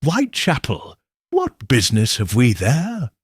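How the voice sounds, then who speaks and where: A man's voice: medium pitch, huge pitch swings, measured pace, slightly rough, dry recording, clean studio sound, heavily acted. A man voicing an earnest detective's sidekick in an audiobook mystery, puzzled and eagerly questioning. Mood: surprised.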